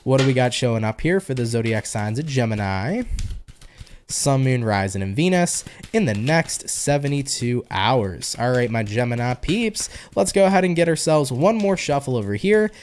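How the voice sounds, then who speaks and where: A man's voice: mid-range voice, animated, normal speed, darkish tone, a little hiss or hum. A man from New York with a New York accent, giving a casual tarot reading in a chatty, slangy way and swearing casually. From New York, USA. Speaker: tarot reader, online host.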